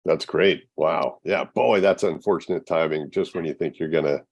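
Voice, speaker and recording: A man's voice: a bass voice, normal speed, husky. A man hosting a business podcast interview, easygoing with upbeat interjections. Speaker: podcast host.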